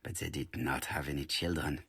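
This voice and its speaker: An actor playing a polite, precise middle-aged detective in a video game, speaking formally with a French accent. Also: male voice, very deep voice, fairly even intonation, creaky, a bit bright, husky, exaggerated voice. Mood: observant. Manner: formal, measured, courteous.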